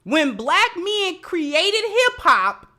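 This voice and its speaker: High, bright-voiced woman giving emphatic commentary. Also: sing-song, extremely slow. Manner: emphatic, repeats phrases for emphasis. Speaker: livestream commentator and host. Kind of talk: livestream social commentary with asides to viewers.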